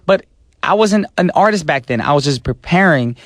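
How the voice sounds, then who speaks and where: A man hosting a radio show in a studio, chatting and telling animated stories. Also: fairly high pitch, moderate pace, no echo. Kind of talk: casual radio DJ chat. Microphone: studio mic.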